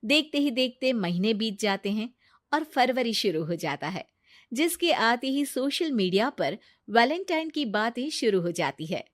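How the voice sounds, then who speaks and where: A woman's voice: on the high side, sing-song, unhurried, dry recording, studio quality. A woman narrating a family story in a scripted storybook style.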